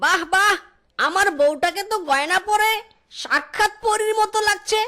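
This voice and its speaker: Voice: very high-pitched, moderate pace, bright, forceful tone, slight background noise. An actor voicing a cheerful male fox who sells grapes and dotes on his wife in a children's cartoon. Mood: doting, excited. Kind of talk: Bengali children's cartoon dub.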